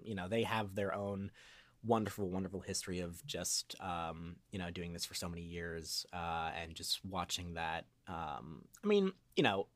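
A man's voice: sits low, dry recording. A young man speaking as a guest voice actor in a casual interview, with a hesitant, rambling delivery.

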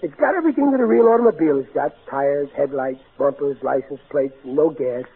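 A man's voice: a little high, fairly quick, noisy background, close to an everyday voice. An actor playing a blundering, good-natured working-class father in old radio comedy, with folksy ungrammatical speech and stammering. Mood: proud, sheepish. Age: middle-aged. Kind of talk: 1940s radio comedy acting. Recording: tinny, narrow-band old radio recording.

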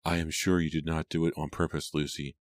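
Storybook part: male reader as kindly young daughter, sincere and gentle, deep-toned. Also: flat delivery, brisk, no room sound. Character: kind, considerate young woman, younger daughter.

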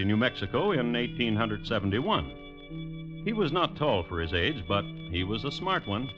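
Male host, deadpan storyteller with a lively melodic range, muffled 1950s radio recording. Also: fairly low pitch. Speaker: host and narrator. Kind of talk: 1950s radio scripted true-crime narration. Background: music.

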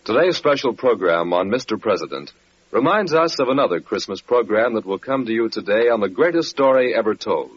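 A man's voice: fairly low pitch, expressive, brisk, slightly rough. A man with an American accent announcing on 1940s radio in a formal, promotional way, on a muffled old recording.

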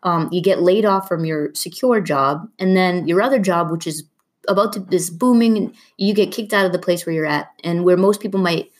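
A woman's voice: lowish voice, normal speed. A woman hosting a podcast, interviewing her guest in a casual conversational style.

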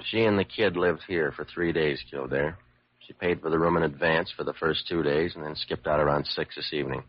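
A man's voice: extremely low pitch, brisk, noisy background. A man acting a cynical, world-weary police lieutenant in a classic radio drama, blunt and slangy. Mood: matter-of-fact. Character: cynical, hard-boiled male police lieutenant. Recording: muffled old radio recording.

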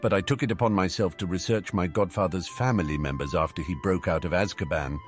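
A man's voice: sits low, quick pace, faint music behind, close to an everyday voice. A man voicing a bold, flippant young wizard schoolboy who talks earnestly and cheekily in a storybook reading. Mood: cheeky.

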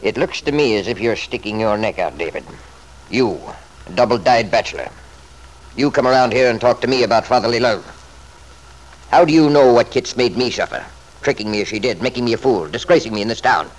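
A man's voice: medium pitch, expressive, fairly quick, lightly acted, poor audio. An actor playing a selfish, scornful wealthy father in an old-time radio drama, blustering and sarcastic.

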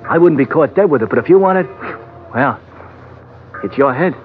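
An actor playing a wary, easygoing man with a casual, halting delivery in an old-time radio drama. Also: on the high side, expressive, soft background music, lightly acted. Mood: wary, resigned. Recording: tinny, phone-like vintage radio recording.

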